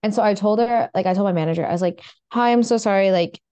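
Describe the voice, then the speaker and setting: A young woman co-hosting a casual podcast, with a bubbly, playful way of talking. She has a slight runny nose, and her voice sits at an ordinary middle pitch. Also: fast, mellow, smooth. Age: young adult. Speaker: podcast co-host. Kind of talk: casual chat.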